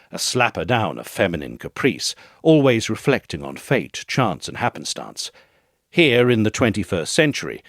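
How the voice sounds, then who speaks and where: A man narrating a literary essay from a script in a measured, articulate and expressive way. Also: lowish voice, no echo, studio quality. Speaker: narrator reading an essay aloud.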